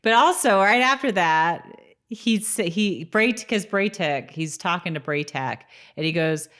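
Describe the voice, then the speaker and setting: Deep-voiced woman in casual podcast chat. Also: lively intonation, a little slow, a bit bright. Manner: informal, conversational. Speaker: podcast co-host. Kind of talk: casual chat about a TV rewatch.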